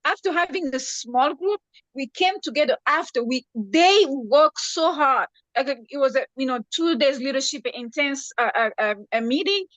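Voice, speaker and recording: A woman's voice: high voice, animated, normal speed, a bit bright, no echo, rough recording. A woman from the Congo, hosting a talk show and chatting enthusiastically, with many hesitations. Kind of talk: casual talk-show chat.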